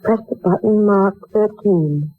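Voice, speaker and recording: A woman's voice: mid-range voice, unhurried, dry recording. An actress playing a proud, polite young woman looking for work, in a dramatic 1940s radio style. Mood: uneasy, wondering. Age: young adult. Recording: muffled old 1940s radio recording.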